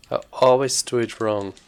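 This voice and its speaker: A man giving casual, low-key live commentary on his own speedrun, muttering to himself as he plays. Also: clean tone.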